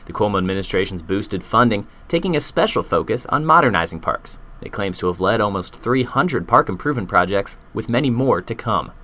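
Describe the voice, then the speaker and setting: A man's voice: fairly low pitch, lively intonation, fairly quick, hardly any pauses, sharp tone. A man with an American accent reading a scripted news report as a reporter and anchor.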